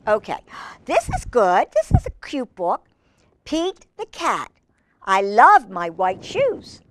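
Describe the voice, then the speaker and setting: Expressive woman reading to children. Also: a little high, takes it slowly. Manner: gushing, expressive, exclaiming over pictures. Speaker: children's storytime host. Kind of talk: storybook read-aloud with chatty asides to children.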